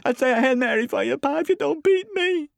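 High-pitched, frightened little boy. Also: animated, normal speed, no echo. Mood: terrified pleading. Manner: timid, quavering, pleading. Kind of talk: dramatised audiobook character acting.

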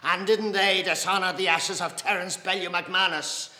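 A man's voice: medium pitch, steady tone, slow pace, sharp tone. A man voicing a fiery, bitter Irish nationalist in a storybook reading, declaiming accusations against the clergy with defiance. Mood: accusing, indignant. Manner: declaiming, accusatory rhetorical questions. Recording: echoey hall reverb.

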